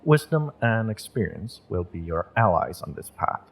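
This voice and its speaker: A man playing a gentle, encouraging magick shop owner and tarot reader in an intimate audio roleplay, speaking in a measured, mystical, reassuring way. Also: deep voice, sing-song, gravelly, breathy edge, heavily acted.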